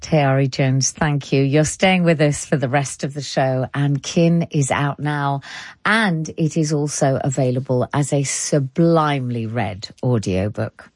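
A woman presenting an arts radio programme from a studio, reading scripted links in a clear, measured way. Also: a bass voice, darkish tone, dry recording.

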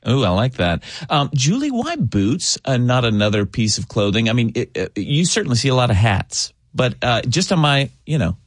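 A man's voice: mid-range voice, very animated, moderate pace, hardly any pauses, dry recording, clean studio sound. A man hosting a radio talk show, conversational with hesitant restarts. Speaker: radio host. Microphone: studio microphone.

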